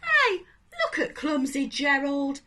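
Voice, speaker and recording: Woman playing jungle animal, excitable, brash, high voice, exclaiming, children's storybook. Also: slow, heavily acted. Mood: mocking. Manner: loud, exclaiming.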